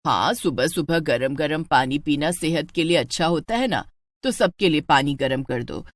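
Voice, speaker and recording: A woman's voice: sits low, expressive, moderate pace, dry recording, pitched below the performer's own voice. A woman voicing a stingy, fussy middle-aged mother-in-law in a story, bossy and coaxing. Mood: bossy, flustered.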